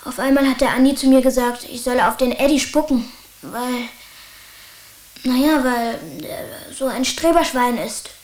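A woman acting a plucky, troubled schoolboy with a high voice. The delivery is colloquial and matter-of-fact, in radio drama style, recorded with a roomy echo. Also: moderate pace, lightly acted. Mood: sheepish.

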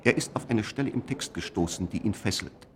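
A man narrating a scripted radio play in a measured, literary manner. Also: on the low side, lively intonation, a little hiss or hum.

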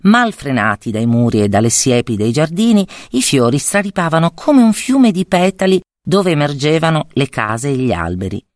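A woman tells a fairy tale as a scripted, expressive narration. Her pitch moves widely, and her phrasing is theatrical and measured, with pauses. The recording is dry. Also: no room sound. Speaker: storyteller.

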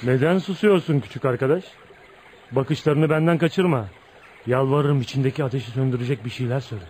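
A man's voice: medium pitch, a little fast, darkish tone, a little hiss or hum, close to an everyday voice. An actor playing a brooding, jealous man of volatile temper in a dramatic, intense radio theatre style. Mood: bitter, pleading. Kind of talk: Turkish radio theatre acting.

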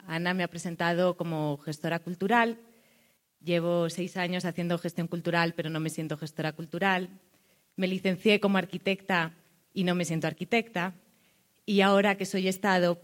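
A woman from Spain with a Spanish accent, presenting a community project at a public panel as a cultural manager. She speaks thoughtfully, pausing on fillers and often closing a point with a questioning "no?". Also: on the low side.

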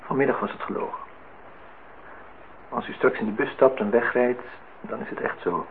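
An actor as an earnest man in his thirties, in love and full of self-reproach. His voice is creaky and he speaks in formal, halting, reflective phrases. It is a radio play with a narrow, telephone-thin sound. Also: mid-range voice, lightly acted. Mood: earnest, regretful. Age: 30s. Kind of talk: radio drama acting. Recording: thin phone-like sound, noisy old radio recording.

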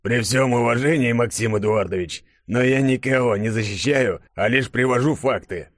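A man's voice: medium pitch, moderate pace, dry recording, clean studio sound, voice pushed up, natural voice. A man voicing a stern, irritable boss with a formal, commanding manner in an audiobook. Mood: irritated.

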